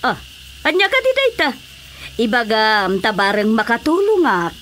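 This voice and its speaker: Bossy female village captain for radio comedy, Ilocano accent, high, bright voice and big dramatic swings. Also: wildly swooping pitch, moderate pace, a little hiss or hum. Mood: concerned. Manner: dramatic, exclaiming, scolding.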